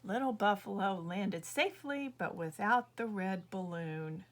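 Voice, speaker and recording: A woman reading her own children's book aloud as an expressive storyteller. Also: mid-range voice, unhurried.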